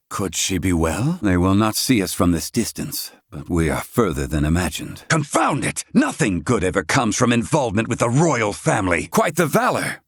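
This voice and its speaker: A man's voice: fairly low pitch, dry recording, clean studio sound. A man voicing a stern, haughty learned character in a video game, speaking in pompous, clipped, archaic formal diction. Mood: grave, wry, then indignant.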